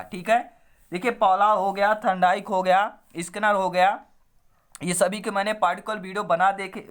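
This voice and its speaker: A man with a throat hoarse from a cold, teaching an online exam lesson by reading quiz questions and options, then repeating the answers to viewers in a familiar way. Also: high-pitched, fairly bright tone.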